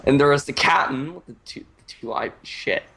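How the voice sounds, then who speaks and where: A man improvising a joke story in a halting, stammering way while he draws, swearing often. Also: mid-range voice, crowd noise. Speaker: storyteller, host.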